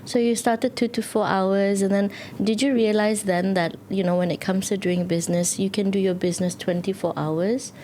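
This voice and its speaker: A woman's voice: medium pitch, fairly even intonation, normal speed, hardly any pauses, mellow, a little hiss or hum. A woman hosting a podcast, reading upbeat introductions and prompting her guests with frequent affirming murmurs. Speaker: podcast host. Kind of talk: hosted podcast conversation.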